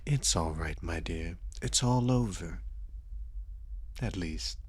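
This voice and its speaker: A man's voice: sits low, slow, no echo, full character voice. A man playing a seductive, dominant and sadistic vampire in an intimate ASMR audio roleplay, coaxing and condescending, often dropping into whispers. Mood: soothing, possessive. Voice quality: breathy, husky.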